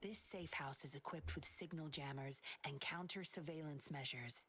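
An actress playing a cool, secretive young female agent in a video game, breathy, measured and self-assured. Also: deep voice, a bit bright. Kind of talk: scripted game dialogue. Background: game music.

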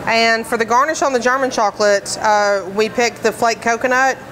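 A woman's voice: fairly high pitch, fairly even intonation, moderate pace, heavy background noise. A woman bakery trainer explaining decorating steps in plain, practical terms, with frequent fillers. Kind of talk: instructional demonstration. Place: commercial kitchen, indoors.

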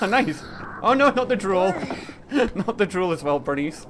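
A man in his thirties giving a casual, excitable video reaction as a streamer, often repeating his phrases. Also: high-pitched, slow, noisy background. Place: small indoor streaming room. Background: video game trailer music.

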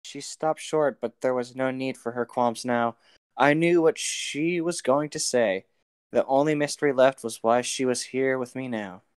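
Clear, smooth-voiced man, casual. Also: mid-range voice, a little slow, no room sound. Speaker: podcast co-host reading aloud with friends. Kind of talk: casual chat and group read-aloud of a novel. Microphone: close mic on an online voice call.

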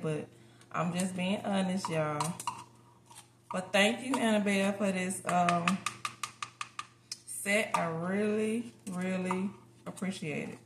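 An American woman chatting casually and candidly to viewers as she cooks at home, in an informal way. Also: fairly low pitch. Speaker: home cooking vlogger. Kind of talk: casual chat while cooking. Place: home kitchen, indoors. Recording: roomy kitchen, noticeable background noise.

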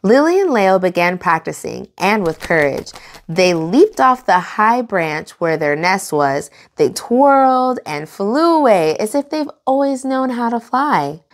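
A young adult woman hosting children's storytime, reading aloud in an animated, expressive way and enunciating for young listeners. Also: medium pitch, a little slow.

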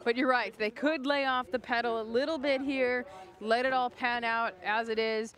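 A woman's voice: on the high side, steady tone, normal speed, a bit bright. A woman giving thoughtful expert analysis as co-commentator on a live sailing race.

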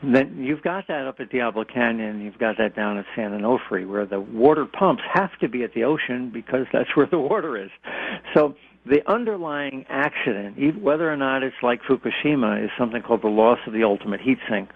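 A man's voice: medium pitch, lively intonation, fairly quick, dry recording. A man in his sixties, an expert guest on a radio interview, explaining things plainly with everyday comparisons over a phone line. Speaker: expert guest, former nuclear industry executive.

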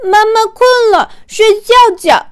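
Lady putting on a tiny cute toddler boy, really high milky baby voice, sweet audiobook kid. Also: slow. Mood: sweet, childlike. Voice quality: babyish, milky voice.

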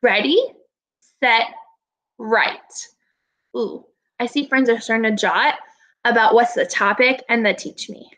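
A woman in her twenties, a teacher giving a read-aloud lesson to young children in a deliberate, instructive way. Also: a little high, a bit bright, rough recording. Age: 20s. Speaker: elementary school teacher. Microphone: laptop webcam at arm's length.

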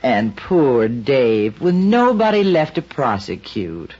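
An actress playing a scheming young widow in a 1950s radio drama, taunting and then flustered. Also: female voice, very deep voice, very animated, slow, warm tone, husky, exaggerated voice. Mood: smug. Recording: dull old radio recording.

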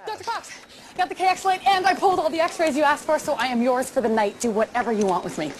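A woman's voice: sits high, quick pace, faint music behind, lightly acted. An actress playing an eager, awkward young doctor in a sitcom, gushing and correcting herself as she tries to please. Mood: flustered eagerness. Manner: gushing, flustered, self-correcting. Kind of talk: sitcom acting.

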